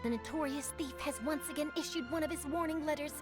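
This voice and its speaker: A woman's voice: sits high, fast talker, natural voice. A woman playing an eager young female newspaper journalist in a video game, telling things theatrically, with music under her voice. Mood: eager storytelling. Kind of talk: animated game voice acting.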